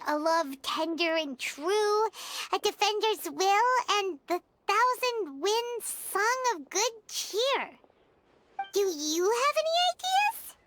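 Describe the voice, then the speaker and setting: A woman voicing a small, chirpy fairy-like girl companion in a video game, childlike, squeaky and exclamatory. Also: a bit bright, slight background noise, full character voice. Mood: pondering. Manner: exclamatory, talks of self in third person. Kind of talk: animated video game dialogue. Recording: music playing behind the voice.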